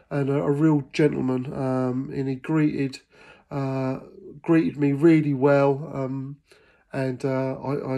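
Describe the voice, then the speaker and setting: A man's voice: mid-range voice, vocal fry, dark tone. Middle-aged English man, hesitant and enthusiastic. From England. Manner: hesitant, many ums and uhs, enthusiastic. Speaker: birding vlogger. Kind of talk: casual voice-over narration.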